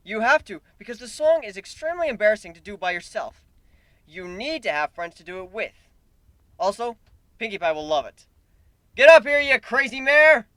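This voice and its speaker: A man acts out a wry, wisecracking hero of a fantasy tale. His voice is high and jumps about animatedly, dry and close to the listener over music. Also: very animated, normal speed, pushed and bright, dry recording, heavily acted. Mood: rousing.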